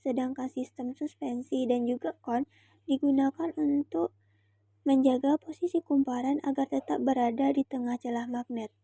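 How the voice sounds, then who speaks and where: High, very soft-toned young woman presenting. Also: steady tone, moderate pace, husky, no room sound, studio quality. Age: young adult. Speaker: student presenter. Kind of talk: scripted explanatory narration for a project presentation.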